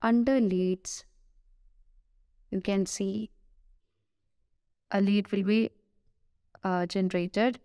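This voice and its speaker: A woman with an Indian English accent giving a screen-recorded software tutorial, hesitant, with many "uh" fillers and self-corrections. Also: medium pitch, fairly even intonation, extremely slow, long pauses, clear, resonant tone, no room sound.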